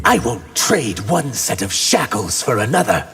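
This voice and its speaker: A proud reptilian warrior from a fighting game, bitter and defiant. The voice is extremely husky and slow, with some music behind. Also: male voice, medium pitch, sing-song, a bit bright, heavily acted. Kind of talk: video game dialogue.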